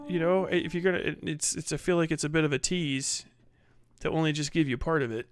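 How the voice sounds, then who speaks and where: Male streamer, higher voice, profane and excitable, casual reaction chat, jumps from loud to muttering. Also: clean tone, no echo. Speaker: livestream host.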